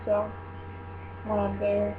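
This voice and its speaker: A woman's voice: medium pitch, monotone, slow pace, phone-quality sound. A young woman vlogger talking casually, rambling through her plans with many fillers. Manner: rambling, filler-heavy planning talk. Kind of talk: casual chat. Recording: mains hum behind voice.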